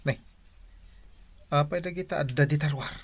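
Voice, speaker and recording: A man playing an excitable, indignant village farmer with a Filipino accent in a comedy radio drama. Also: fairly high pitch, moderate pace, a little hiss or hum, natural voice.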